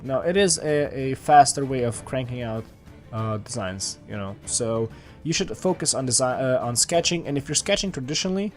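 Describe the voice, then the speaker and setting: A man's voice: mid-range voice, animated, normal speed, faint music behind. A man casually talking over his drawing process, rambling with lots of fillers and restarts. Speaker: art channel host. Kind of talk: casual voice-over commentary on a sketch.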